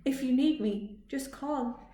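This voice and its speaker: A woman voicing a big, gentle, hungry male bear in a children's storybook, slow and plaintive. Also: sits high, roomy sound. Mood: grateful.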